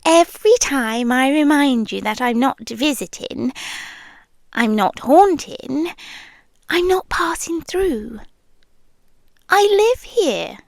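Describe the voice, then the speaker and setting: Woman acting a slow, high-voiced British yandere girlfriend. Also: dry recording, studio quality, full character voice. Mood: possessive, insistent. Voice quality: breathy, often dropping into whispers. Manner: slow, teasing, coy, with drawn-out pauses. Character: possessive yandere girlfriend voice living inside the listener's head. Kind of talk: ASMR roleplay, intimate close address. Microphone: close, dry microphone.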